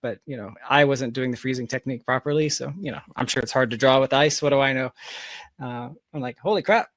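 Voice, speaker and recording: A man chatting casually as a podcast guest, telling anecdotes in an animated manner over a close mic. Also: medium pitch, quick pace, dry recording.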